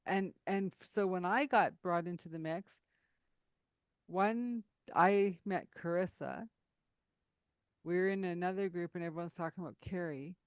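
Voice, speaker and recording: A woman hosting an interview, chatty and animated, who tells stories quickly and laughs often. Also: lowish voice, smooth, dry recording. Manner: lively storyteller, laughs often, speeds up when excited. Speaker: podcast host. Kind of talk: casual interview conversation.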